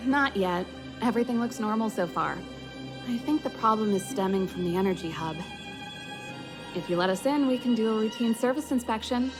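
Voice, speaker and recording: Casting: woman to play confident young female mechanic and rocker for a game, lively high voice, breezy, music underneath. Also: fairly quick, close to an everyday voice. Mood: confident explaining. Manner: breezy, self-assured. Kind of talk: video game voice acting.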